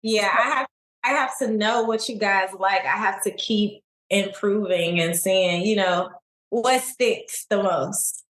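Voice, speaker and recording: A young adult woman from Chicago, a singer, talking in a relaxed, laid-back way in a casual interview. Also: mid-range voice, takes it slowly, a little hiss or hum. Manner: relaxed, laid-back, many likes and you knows. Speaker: singer guest. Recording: echoey room.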